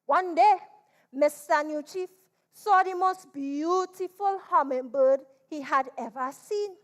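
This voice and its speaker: High-pitched, slow-paced Trinidadian woman storyteller. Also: fairly bright tone. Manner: theatrical, drawn-out delivery with repetition. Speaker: storyteller performing at a literature competition. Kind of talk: dramatized folk storytelling with chanting.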